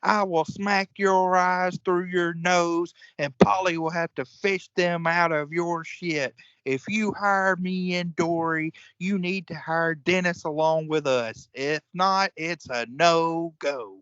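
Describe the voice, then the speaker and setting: A man's voice: fairly high pitch, steady tone, takes it slowly, a bit bright, clear, resonant tone, no room sound, highs cut off. A man acting in a comedic audio play as a crude, hot-tempered old-school wrestler, folksy and profane. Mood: blustering.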